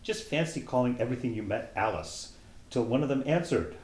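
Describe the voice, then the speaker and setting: A man's voice: mid-range voice, expressive, fairly quick, a little mellow, roomy sound, voice pushed up, lightly acted, low-quality recording. A man reading aloud as a curious young girl who rambles and muses to herself, timid when asking questions. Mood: musing.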